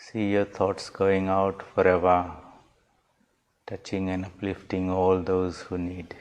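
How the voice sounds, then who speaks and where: A man with a low voice guides a meditation as a swami. His delivery is very slow and even, with little rise or fall in pitch. He phrases each instruction calmly and deliberately. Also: lo-fi sound. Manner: calm, measured, deliberate phrasing.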